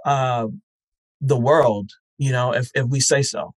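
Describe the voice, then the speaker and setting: A man with a medium-pitched voice talks as a podcast guest in an earnest, reflective way. He searches for his words and fills the gaps with "um." The sound is dry and close to the mic. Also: steady tone, takes it slowly, no room sound. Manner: thoughtful, earnest, many "um"s and restarts. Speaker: podcast festival founder, guest. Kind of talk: casual interview talk.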